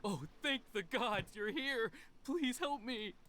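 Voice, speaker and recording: An actor playing an anxious, deferential servant in an anime game dub, earnest and pleading. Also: male voice, extremely high pitch, takes it slowly, bright, forceful tone, heavily acted. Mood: relieved, shaken.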